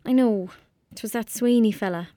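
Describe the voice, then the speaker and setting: A woman's voice: on the high side, normal speed, warm tone, no echo, lightly acted. Woman acting as lonely irish country girl in a radio play, blunt and dreamy, very dry close sound. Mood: earnest. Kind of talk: audio drama acting.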